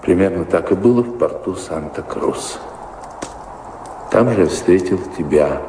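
An actor playing a weary, wistful middle-aged baron in a radio play, brooding and reflective in measured phrases. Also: male voice, deep voice, lively intonation, background noise. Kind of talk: Russian radio play acting. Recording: echoey reverberant studio drama with prominent music.

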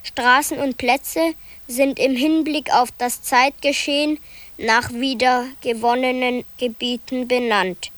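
Slow high-voiced woman reading plans. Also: steady tone, fairly bright tone, no echo. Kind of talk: documentary radio reading.

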